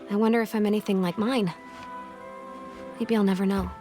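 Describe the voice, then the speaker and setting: An actress playing an earnest, questioning young heroine in an animated video game, wistful and a little wry. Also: female voice, mid-range voice, fairly quick, lightly acted. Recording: music underneath the voice. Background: music.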